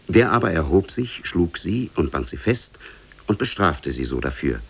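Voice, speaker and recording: Deep, creaky-voiced male storyteller. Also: very animated, fairly bright tone. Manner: measured, storytelling. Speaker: narrator. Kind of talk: scripted storybook narration, 1950s radio.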